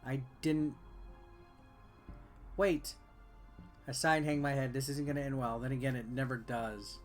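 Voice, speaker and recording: A man's voice: mid-range voice, flat delivery, a little slow. A man reading visual novel text aloud as a game player, with offhand, wry asides. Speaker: video game reviewer and let's player. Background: game music.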